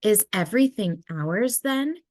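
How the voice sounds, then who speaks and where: A woman's voice: mid-range voice, lively intonation, a little slow, a little mellow, dry recording, clean studio sound, voice pushed up, close to an everyday voice. A woman voicing curious, anxious young polar bear cubs from a storybook, with a wide-eyed questioning manner. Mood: curious, worried.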